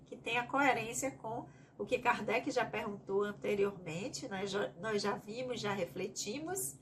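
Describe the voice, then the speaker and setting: A woman's voice: mid-range voice, unhurried, fairly bright tone, slight background noise. A woman with a Bahian Brazilian accent, leading a spiritist study group; she reads each question aloud and then explains it at length in her own words. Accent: Bahian Brazilian Portuguese.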